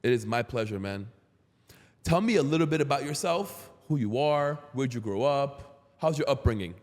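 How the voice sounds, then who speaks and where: A man's voice: medium pitch, expressive, normal speed, a little mellow. A man in his thirties from Brazil who grew up in Queens, hosting a casual podcast interview. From Brazil, moved to Queens, New York at seven. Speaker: podcast host. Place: indoor podcast studio. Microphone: close boom-arm studio microphone. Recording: roomy studio with long reverb.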